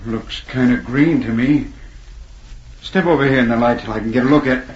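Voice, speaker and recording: A man playing a folksy, gullible, sickly outlaw with rustic, rough speech in a 1940s radio adventure. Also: mid-range voice, fairly quick, slight background noise, natural voice. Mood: queasy, uneasy. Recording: muffled, echoey 1940s radio recording.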